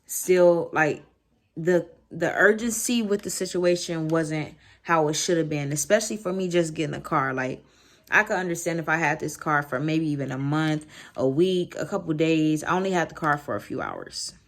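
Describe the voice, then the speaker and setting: Casting: American woman vlogger, low voice, loose slangy storytime delivery with animated asides. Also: normal speed. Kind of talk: casual storytime chat to camera.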